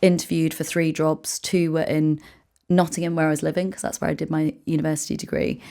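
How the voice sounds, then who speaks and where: A woman from England speaks in a notably low voice. She is a guest in a relaxed interview, telling brisk, lively stories full of "like" fillers. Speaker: guest, founder and author. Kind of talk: casual interview chat.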